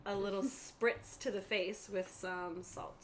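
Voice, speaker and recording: A woman's voice: mid-range voice, steady tone, takes it slowly, a little hiss or hum. A woman narrating a tabletop roleplaying game as game master, explaining things in a conversational way.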